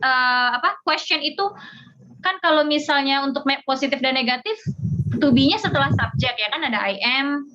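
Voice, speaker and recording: High, bright-voiced Indonesian woman teaching. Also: lively intonation. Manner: explanatory, repeats example sentences slowly. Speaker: English teacher. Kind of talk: informal grammar lesson with examples. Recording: dull audio with clear background noise.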